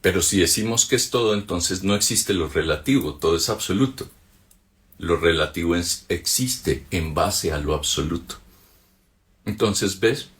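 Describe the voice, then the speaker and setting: A Colombian man with a very low voice walks through a spiritual text in an easy conversational way. He keeps checking in with short rhetorical questions as he explains. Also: unhurried. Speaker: spiritual teacher. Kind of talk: reflective spoken commentary on a text.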